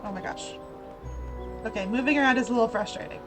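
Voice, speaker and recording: A woman streamer chatting casually with her viewers while she plays, her voice strained and sore. She speaks a little high. Game music plays behind her. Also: fast. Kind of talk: casual live gameplay commentary.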